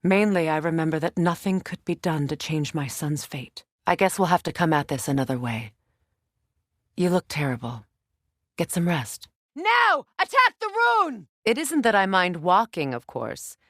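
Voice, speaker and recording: A woman's voice: fairly low pitch, very animated, moderate pace, a bit bright, no room sound. A fierce goddess and mother from a fantasy video game. She is proud and wounded by grief, speaking with grave, commanding intensity in a close, dry recording. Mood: weary, sorrowful, then urgent battle shouts.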